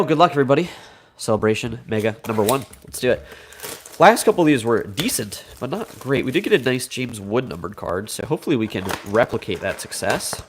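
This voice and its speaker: A man's voice: medium pitch, slight background noise. A man with a Midwestern American accent hosting a live card break, slightly congested from a cold, chatty and upbeat as he reads out names and teams.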